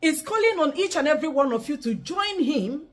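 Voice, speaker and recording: Woman with a Nigerian accent, animated up-and-down voice, fairly high pitch, news-style address. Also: brisk, noisy background. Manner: preachy greeting, slips into Pidgin. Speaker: online news presenter.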